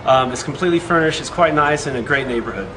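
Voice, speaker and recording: A man's voice: mid-range voice, fast talker, poor audio. A man who teaches, answering interview questions in an upbeat, casual way. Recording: clear music bed.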